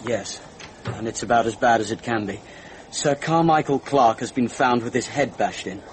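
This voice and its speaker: An actor playing a confident police inspector in a radio drama, matter-of-fact and official. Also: male voice, medium pitch, moderate pace, close to an everyday voice. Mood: grave. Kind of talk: classic radio drama acting. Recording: noisy old radio drama recording.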